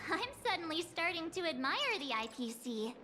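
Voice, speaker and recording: A woman's voice: extremely high pitch, sing-song, moderate pace, sharp tone, soft background music, exaggerated voice. An actress voicing a bubbly young woman in a video game, gushing with wide-eyed amazement. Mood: amazed.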